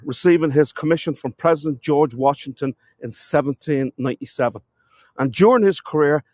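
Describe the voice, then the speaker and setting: A man's voice: fairly high pitch, dry recording. A man with a cold, sniffling as he gives a chatty live-streamed walking tour outdoors, talking to viewers and calling them folks. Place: outdoors in a town.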